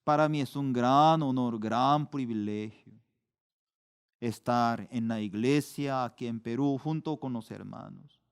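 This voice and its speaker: Middle-aged male pastor, unhurried sermon delivery, clean resonant tone, bursts upward on key words. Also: clear, resonant tone. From Korea. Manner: slow, deliberate preaching with sudden rises in pitch and volume for emphasis.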